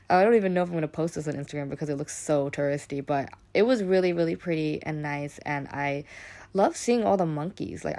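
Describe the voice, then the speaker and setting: A woman's voice: low-pitched, vocal fry. A young American woman narrating her travel vlog in a chatty, rambling way, full of fillers and casual swearing. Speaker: travel vlogger.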